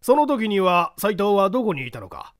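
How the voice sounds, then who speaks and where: A man's voice: high-pitched, very animated, normal speed, no room sound, clean studio sound, pitched above the performer's own voice. A man voicing a stern male judge who interrogates witnesses in a dramatic audiobook reading. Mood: stern, probing. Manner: commanding, interrogating.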